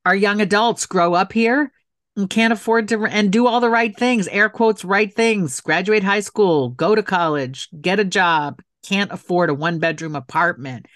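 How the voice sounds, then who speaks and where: A woman's voice: mid-range voice, normal speed, a bit bright, no echo, studio quality. A middle-aged woman speaking as an interview guest, emphatic and heartfelt, repeating phrases for stress. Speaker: guest, author and city council member. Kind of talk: earnest interview talk.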